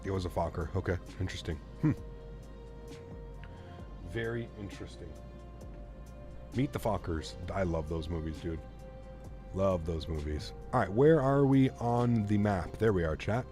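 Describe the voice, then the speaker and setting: A man in his thirties with a lowish voice chats easily from a home streaming setup, reading viewer comments aloud and thinking out loud. He talks in a relaxed, rambling way with fillers and restarts. Faint music plays underneath. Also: moderate pace, long pauses. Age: 30s. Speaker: flight sim streamer.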